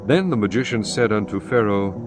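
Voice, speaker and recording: A man narrating scripture in a solemn, measured, scripted style. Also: lowish voice, expressive. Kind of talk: scripted dramatised Bible narration. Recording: music behind narration.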